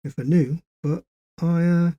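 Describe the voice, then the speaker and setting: A man's voice: on the high side, steady tone, measured pace, warm tone, no room sound, muffled recording, pitched above the performer's own voice, close to an everyday voice. A man voicing a blunt, suspicious working-class British soldier in an audiobook story, slangy and questioning. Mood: hesitant.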